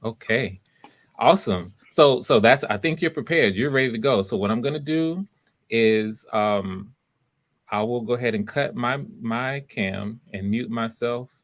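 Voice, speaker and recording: A man's voice: medium pitch, unhurried. A man hosting live voice auditions, talking casually and encouragingly to the people taking part. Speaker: host running voice auditions. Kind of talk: casual livestream talk.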